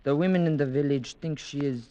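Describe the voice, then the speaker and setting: An actor playing a deferential village servant in a vintage radio drama, hesitant and uneasy. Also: male voice, a little high, brisk, dark tone, close to an everyday voice. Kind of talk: 1940s-50s radio drama acting.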